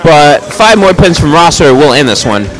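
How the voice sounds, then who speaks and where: A man giving live play-by-play commentary on a bowling match in a bowling alley. He has a loud, projecting voice and makes chatty, joking asides between calls. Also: fairly high pitch, sing-song, moderate pace, poor audio.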